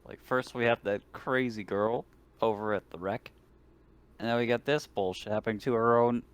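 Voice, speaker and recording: A man's voice: medium pitch, steady tone, moderate pace, smooth, no room sound. A man bantering in character at a tabletop game, incredulous and casual. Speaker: tabletop roleplaying player.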